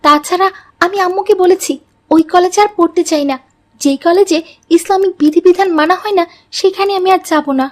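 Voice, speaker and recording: Woman playing a very high-voiced cartoon college girl. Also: a little fast. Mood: friendly, earnest. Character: headstrong college girl Fatema, later remorseful. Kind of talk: Bengali moral story cartoon dialogue.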